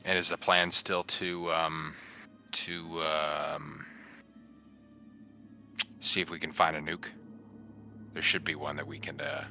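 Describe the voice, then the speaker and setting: A man talking as a player at a tabletop roleplaying game, with a halting, hesitant delivery. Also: rumbling low, level tone, bright, forceful tone, muffled recording, faint music behind. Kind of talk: in-character game table chat. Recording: clear background noise and ambience.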